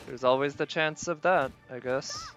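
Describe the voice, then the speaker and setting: Musing game streamer over loud game music. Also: mid-range voice, normal speed. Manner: musing, hesitant, thinking aloud. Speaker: live streamer. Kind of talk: casual gaming stream commentary, chatting with viewers. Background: video game music.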